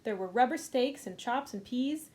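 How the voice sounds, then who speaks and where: A woman librarian leading a children's storytime, reading aloud gently and unhurriedly with a few fillers. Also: a little high, animated, normal speed.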